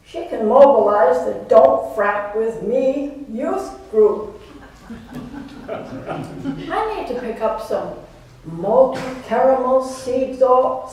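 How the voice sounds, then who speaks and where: In a very echoey room, away from the mic, a woman acts an elderly female activist with a high-pitched voice. She is polite, a little forgetful and firm, in the manner of a staged reading. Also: mellow, distant mic, slight background noise. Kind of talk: readers theater acting.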